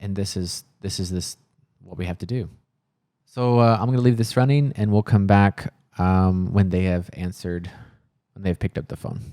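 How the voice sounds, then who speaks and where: A man with a low voice speaks in a casual, earnest vlog style. He sounds worried and frustrated, explaining himself plainly and sometimes searching for words. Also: fairly even intonation, moderate pace, dark tone. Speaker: coffee shop owner filming a personal vlog.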